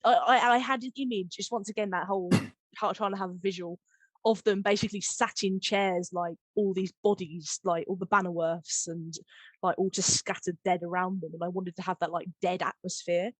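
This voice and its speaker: A woman's voice: on the low side, fairly even intonation, normal speed, no echo, poor audio. Effusive, giggly woman podcaster. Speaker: podcast host and audio drama editor. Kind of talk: casual chat about making audio drama.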